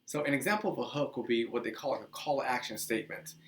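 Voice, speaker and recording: A man in his thirties, from the Dominican Republic, hosting a casual teaching video, talking with lively hand gestures in a small office. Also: medium pitch, quick pace. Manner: animated, gestures a lot, chatty with restarts. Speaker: host. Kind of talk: casual teaching monologue to camera. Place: small office with couch, indoors.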